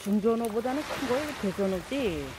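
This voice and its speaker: A woman's voice: fairly high pitch, animated, brisk. A woman filming a fishing trip on a sandy beach, commenting casually and exclaiming a lot. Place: sandy beach by the sea, outdoors. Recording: loud surf noise behind.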